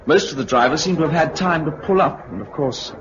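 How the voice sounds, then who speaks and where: A man's voice: on the high side, brisk, close to an everyday voice. An actor with a British accent playing an easygoing, laconic male pilot in 1950s radio drama style. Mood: offhand, relaxed. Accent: British English. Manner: offhand, understated. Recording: dull 1950s radio recording, crowd murmur.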